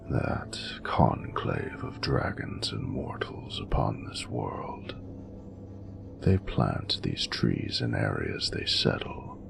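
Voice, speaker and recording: A man playing an ancient, tender male dragon in a romantic audio roleplay, speaking formally and poetically in an intimate, breathy rumble. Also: exaggerated voice. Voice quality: very deep, gravelly, breathy rumble. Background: music.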